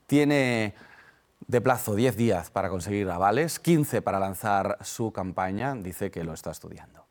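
Low-voiced male news anchor. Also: normal speed. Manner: smooth, steady delivery. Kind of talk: news presenting.

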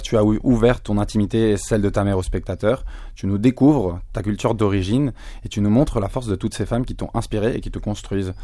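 A man from Spain, a French speaker, interviewing a filmmaker with measured questions and frequent hesitations. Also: on the low side, dark tone, no echo, clean studio sound. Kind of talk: festival interview.

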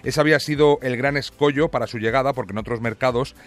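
A man's voice: medium pitch, quick pace. A man with an accent from Spain reading scripted technology news as a radio reporter. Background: music.

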